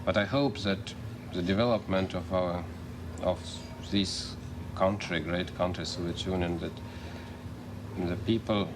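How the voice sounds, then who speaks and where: A man giving a documentary interview, speaking haltingly and searching for words in a second language. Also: low-pitched, steady tone. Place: home in Estonia. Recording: noisy old documentary film sound.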